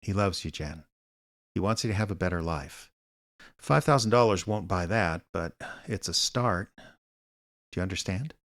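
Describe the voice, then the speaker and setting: An actor playing an older, wry private eye with a dry, sardonic, kindly manner in a radio drama. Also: male voice, sits low, animated, normal speed, pauses often, a bit bright. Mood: gentle, earnest. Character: aging wry small-town private eye, gruff but kindly. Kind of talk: radio drama acting with narration.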